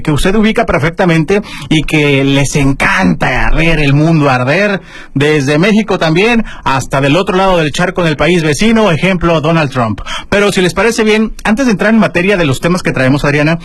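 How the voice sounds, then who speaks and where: A man with a Mexican Spanish accent hosting a casual radio news segment, chatting with his co-host and filling pauses with "eh". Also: fairly high pitch, no echo. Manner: chatty, warm address to listeners, many fillers. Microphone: studio microphone.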